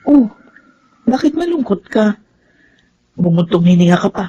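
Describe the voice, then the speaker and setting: Filipino actress as concerned, expressive wife and mother. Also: mid-range voice, moderate pace, muffled recording, a little hiss or hum, natural voice. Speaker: radio drama actress. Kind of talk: Filipino radio drama acting.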